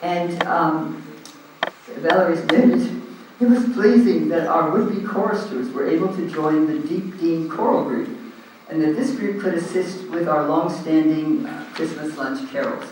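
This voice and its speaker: An Australian man running a meeting in a big, very echoey hall, heard from some distance away. His voice sits a little high for a man, with faint room hiss underneath. He talks loudly and informally, with lots of ums and uhs. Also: measured pace. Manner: loud, informal, hesitant with frequent ums. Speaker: meeting chair standing in for the president. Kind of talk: chairing a community meeting. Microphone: handheld microphone.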